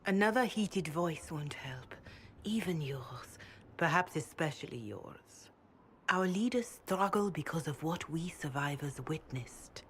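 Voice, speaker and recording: An actress playing a solemn, devout middle-aged cleric in a video game cutscene, speaking with a French accent in a grave, reverent manner. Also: female voice, sits low, expressive, a little hiss or hum. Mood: grave, consoling. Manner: measured, grave, reverent delivery.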